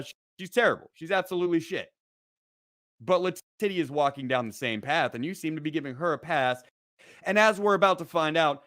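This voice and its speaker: A man's voice: fairly high pitch, fairly bright tone, no room sound, clean studio sound. A man giving an angry commentary rant in a scornful, sarcastic, profanity-laced manner.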